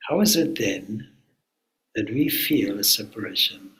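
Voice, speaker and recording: A man, a swami, leading a guided meditation in a calm, unhurried way with long pauses. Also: lowish voice, poor audio.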